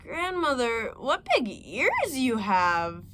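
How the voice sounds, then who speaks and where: An innocent little girl from a storybook chatters away in a lifted, eager voice, as a woman voices her. She sounds trusting and wide-eyed, stretching her words out slowly. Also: a little high, very animated, slight background noise, heavily acted. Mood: wondering. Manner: bright, naive and eager.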